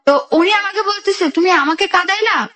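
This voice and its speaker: A woman's voice: very high voice, fairly quick, sharp tone. A young woman with a Bangladeshi accent tells her life story in a radio interview, narrating in detail and re-enacting conversations. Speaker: guest telling own life story.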